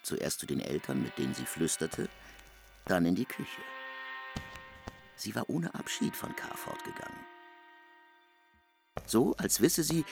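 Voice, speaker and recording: Creaky, expressive low-voiced male narrator. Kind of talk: literary scripted narration.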